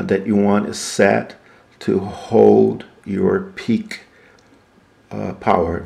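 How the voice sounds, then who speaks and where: Gravelly, very low-pitched man explaining gear. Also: darkish tone. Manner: explanatory, step by step, asks and answers own questions. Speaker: product reviewer. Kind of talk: casual unscripted equipment walkthrough.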